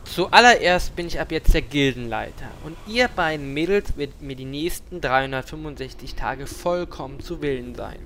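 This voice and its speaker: A boastful, scheming little gnome man, played by an actor in a comedic fantasy audio drama. The voice is forceful and bright, pitched fairly high, and full of pompous self-importance. There is faint noise behind it. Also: lively intonation, normal speed, few pauses. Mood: pompous, demanding. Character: lecherous, boastful little male gnome escape artist. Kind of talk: comedic fantasy radio-play acting.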